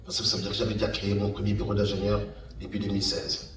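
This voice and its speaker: A middle-aged man speaks in a fairly low voice, giving an informal talk. He is halting and repeats phrases to stress them. The sound is echoey and picked up from a distance, with slight noise. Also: completely flat delivery. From Democratic Republic of the Congo, Kananga. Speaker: guest speaker, engineer and entrepreneur. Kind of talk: informal public talk recounting a career path. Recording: echoey room, distant mic, faint noise.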